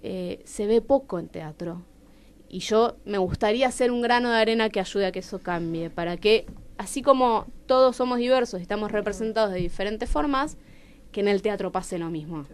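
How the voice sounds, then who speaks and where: A woman's voice: medium pitch, normal speed, clean tone. A woman with a Rioplatense Argentine accent, telling personal stories expressively as a guest in a radio interview. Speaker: playwright and radio show guest. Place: radio studio.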